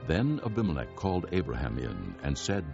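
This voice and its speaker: A man's voice: very deep voice, huge pitch swings, brisk, gravelly, muffled recording, over music. A man narrating a Bible dramatization in a solemn storytelling style.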